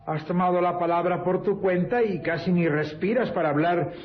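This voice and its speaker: Actor as a stern old great-uncle, muffled radio. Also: male voice, sits high, moderate pace, natural voice. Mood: stern, concerned. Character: serious elderly great-uncle, gruffly jealous of suitor. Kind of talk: old radio drama acting. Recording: dull old radio recording, faint hiss.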